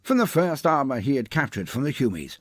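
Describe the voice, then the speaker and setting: Raspy guy narrating an over the top fantasy epic, super theatrical, voice rises and falls a lot, dry close sound. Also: mid-range voice, very animated, fairly quick, no room sound. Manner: grandiose, theatrical, ornate. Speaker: storyteller, narrator.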